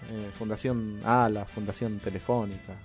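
A man hosting a comedy radio show in casual chat, halting and repeating himself as he goes. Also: fairly low pitch, little pitch movement, faint music behind.

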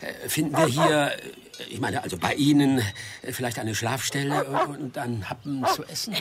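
An actor playing a wily, talkative older petty thief in a German audio drama, persuasive and a little condescending. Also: male voice, mid-range voice, normal speed, lightly acted. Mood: hesitant, pleading. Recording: clear outdoor and vehicle noise.

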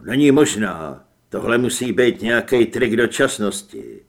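A man voicing a shapeshifting double of a big hunter, gruff, slangy and sneering, in an audiobook. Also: mid-range voice, lively intonation, pitched below the performer's own voice. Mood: indignant.

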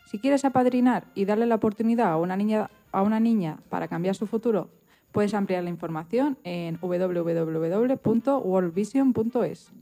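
A woman reads a prepared script as a radio presenter. She has a medium-pitched voice with a mellow, unforced tone. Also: normal speed, soft background music. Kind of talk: scripted narration, reading aloud.

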